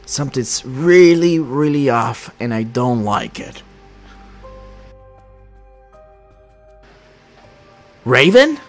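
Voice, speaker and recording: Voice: mid-range voice, expressive, unhurried, soft background music, close to an everyday voice. A performer voicing an anxious, paranoid young male superhero leader in a Minecraft roleplay, worried and hesitant. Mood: uneasy.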